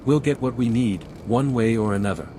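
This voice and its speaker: A man playing a resolute imperial envoy hero in a video game, terse and measured. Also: mid-range voice, warm tone, a little hiss or hum, close to an everyday voice. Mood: firm. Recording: clear background game music.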